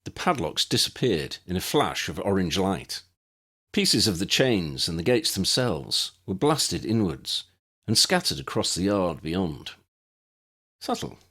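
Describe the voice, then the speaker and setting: Deep-voiced man narrating, very lively pitch. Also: unhurried. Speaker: audiobook narrator. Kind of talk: scripted fiction narration with character voices.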